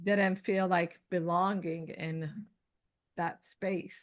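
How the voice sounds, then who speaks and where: A woman's voice: on the low side, fairly even intonation, drawn-out words. A woman in her fifties from Iran with a Persian accent, a guest telling her story in casual chat, rambling with many fillers and restarts. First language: Farsi. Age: 50s.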